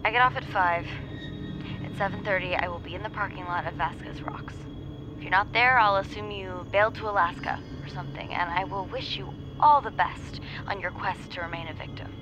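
A woman's voice: mid-range voice, fairly quick. A woman acting a defiant, sarcastic young woman in a naturalistic audio drama, heard through a phone. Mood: defiant, biting. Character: defiant, blunt, sarcastic young woman who takes charge. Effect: phone call filter. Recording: muffled phone line under music.

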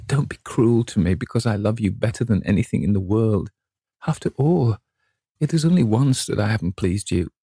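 A man's voice: mid-range voice, dark tone, slightly rough, no echo, clean studio sound, pitched above the performer's own voice. A man doing a desperate young actress, pleading. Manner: tearful, imploring, breathless pleading.

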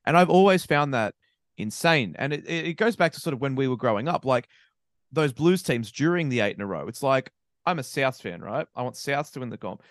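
A man with an Australian accent chatting casually as a podcast co-host, opinionated and animated, stammering when he gets excited. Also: medium pitch, fairly quick, dry recording, clean studio sound. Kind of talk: casual sports chat.

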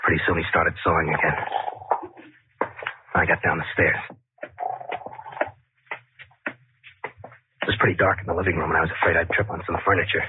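A man's voice: sits low, flat delivery, normal speed, long pauses, phone-quality sound, heavy background noise, lightly acted. An actor playing a furtive, frightened man with a deadly plan, telling his story tensely in 1940s radio suspense style. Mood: hushed, fearful. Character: furtive, frightened man plotting a killing, narrating his deed. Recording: 1940s radio recording with loud organ-era music behind.

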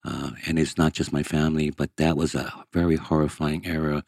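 A middle-aged man, born in Hong Kong and raised in Brazil, speaking earnestly as a guest in an interview, with emphatic, halting restarts. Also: rumbling low, little pitch movement, vocal fry, darkish tone, dry recording, clean studio sound. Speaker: guest angler. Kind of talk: candid interview storytelling.

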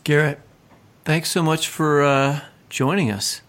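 A man's voice: a little high, fairly even intonation, slow pace, fairly bright tone. A man hosting a literary podcast, in conversation and sometimes reading aloud. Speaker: podcast host.

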